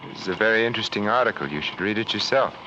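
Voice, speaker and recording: A man playing a calm, bookish prisoner. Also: on the low side, rapid-fire, lightly acted. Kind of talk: 1940s radio acting. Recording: muffled old radio drama with train noise.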